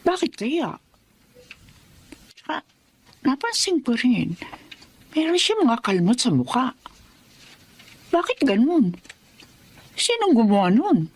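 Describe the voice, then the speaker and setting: A woman's voice: high voice, takes it slowly, pauses often, slightly rough, full character voice. An actress playing a middle-aged mother who takes in laundry, curious and caring, in a Filipino radio-style drama. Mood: puzzled. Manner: expressive, rising to alarmed exclamations.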